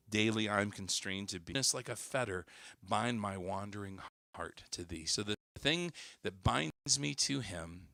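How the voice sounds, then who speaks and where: Hoarse man chatting on a livestream. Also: on the low side, pushed and bright, dry recording, studio quality. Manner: rambling, many fillers and restarts. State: hoarse, recovering lost voice. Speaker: worship singer hosting a livestream. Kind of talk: casual livestream chat.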